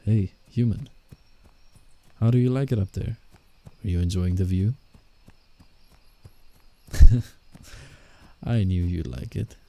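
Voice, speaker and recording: Werewolf, male actor, roleplay ASMR. Low-pitched, slow-paced, guarded forest protector with a teasing streak and a soft heart. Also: gravelly, full character voice. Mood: cheerful. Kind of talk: roleplay ASMR, scripted character acting with inner monologue.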